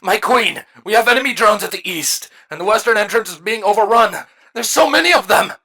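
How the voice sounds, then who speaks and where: A woman's voice: mid-range voice, expressive, brisk, bright, forceful tone, voice pushed up. A woman voicing a panicked changeling soldier drone urgently reporting in a fantasy story.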